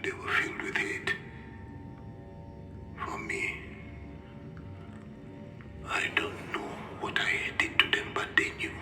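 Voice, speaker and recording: A man playing a haunted, troubled character recording a game audio log in a halting, breathy whisper. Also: sharp tone, reverberant, soft background music. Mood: haunted, guilty. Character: haunted man recording memory of his nightmare.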